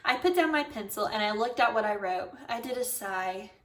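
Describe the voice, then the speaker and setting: A woman's voice: on the high side, lively intonation, normal speed, fairly bright tone. A woman reading a children's book aloud expressively as a storyteller. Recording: roomy echo, distant microphone.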